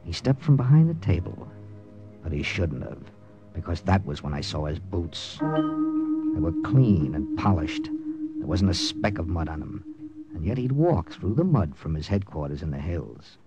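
A man's voice: low voice, huge pitch swings, measured pace, exaggerated voice. An actor playing a tough, terse wartime secret agent captain in a clipped, dramatic 1940s radio style, narrating his mission. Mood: tense, grim. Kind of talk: 1940s radio acting, hard-boiled first-person narration. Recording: old radio recording with music.

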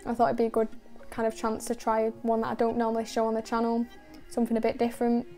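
Brit woman talking thru a car wash, level and even, lots of "sort of"s. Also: a little high, faint music behind. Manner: calm, measured, with many "sort of" and "kind of" fillers. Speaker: car detailing channel host. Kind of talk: voice-over narration of a car wash.

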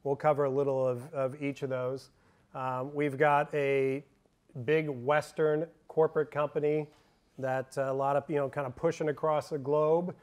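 A man's voice: fairly high pitch, little pitch movement, normal speed, darkish tone, clear, resonant tone. A man moderating a conference panel on an auditorium stage, upbeat and chatty with loose fillers, through a handheld microphone.

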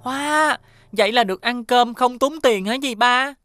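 A woman's voice: fairly high pitch, measured pace, fairly bright tone, soft background music. A woman voicing an eager little schoolboy in a children's cartoon, with childlike, exclamatory phrasing. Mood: excited.

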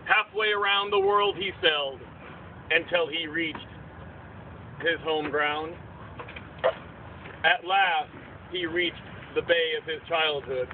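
A man's voice: fairly high pitch, sing-song, slow, long pauses. A man narrating a puppet show in dramatic, theatrical storyteller verse. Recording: tinny outdoor recording, faint noise.

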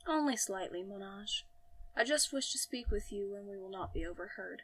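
A woman voicing a suave, self-assured and dangerous Frenchman with a French accent and a smooth, teasing delivery in an audio story. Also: mid-range voice, expressive, normal speed, a bit bright. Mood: flirtatious. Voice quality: smooth, sweet. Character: suave, flirtatious, menacing Frenchman with a gun.

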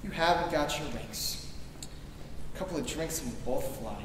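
A man's voice: on the high side, animated, normal speed, close to an everyday voice. A young man on stage playing a troubled, despairing husband and father in a live radio play. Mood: bewildered. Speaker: stage actor. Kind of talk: live 1940s-style radio play acting. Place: theater stage in a large auditorium. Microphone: distant camera. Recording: echoey hall from far off, noisy.

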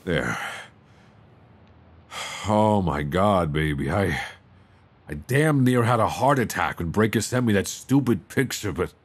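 Guy acting worried mafia boyfriend, asmr roleplay, deep voice, slow and halting, tender. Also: expressive, slightly rough, heavily acted. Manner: hesitant, sighing, halting. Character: worried, tender mobster boyfriend, adult man.

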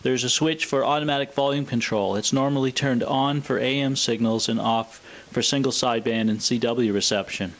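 A man presenting a scripted, explanatory product demonstration in a measured, matter-of-fact way. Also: mid-range voice, fairly even intonation. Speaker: presenter of a vintage radio showcase video.

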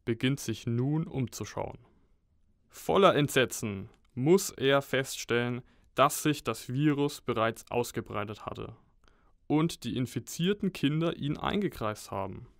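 Slow-paced man narrating with mock-heroic drama. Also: mid-range voice, animated, creaky, dry recording, studio quality. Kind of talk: scripted dramatic storytelling narration.

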